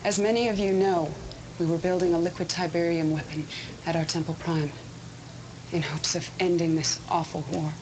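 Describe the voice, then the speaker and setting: A woman's voice: lowish voice, fairly even intonation, moderate pace, noisy background, lightly acted. An actress playing a stern female military commander who speaks in a firm, commanding way. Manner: firm, commanding speech-giving. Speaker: commander and new leader.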